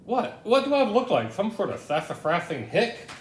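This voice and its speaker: A woman's voice: sing-song, normal speed, natural voice. A woman playing a stubborn, eccentric male customer in a comic play, insistent and easily indignant. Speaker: actor in a student play. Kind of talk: comic stage play dialogue. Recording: roomy echo, low rumble, faint noise.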